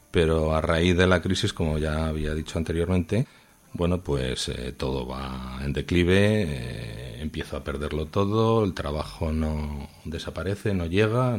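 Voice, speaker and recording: A man with a low voice and a Madrid accent speaks as a guest in an informal radio interview. He picks his words in a halting, measured way. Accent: Madrid Spanish. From Madrid, Spain. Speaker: interviewed guest, new radio show contributor.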